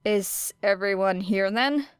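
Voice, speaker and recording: Guarded teen girl, once a child soldier, terse, read by a woman; slow and smooth. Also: mid-range voice, no room sound, natural voice. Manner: clipped, flat, reserved. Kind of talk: audiobook character voice.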